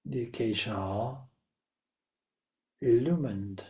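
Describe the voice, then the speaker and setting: A man leading a guided meditation as a spiritual teacher, speaking deliberately in short phrases with long pauses. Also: medium pitch, dark tone.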